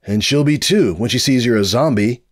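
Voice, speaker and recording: A man's voice: mid-range voice, moderate pace, pitched above the performer's own voice, close to an everyday voice. A man voicing a young male sidekick in a comic storybook reading, sceptical and wisecracking, with a dry, doubtful manner. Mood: wry.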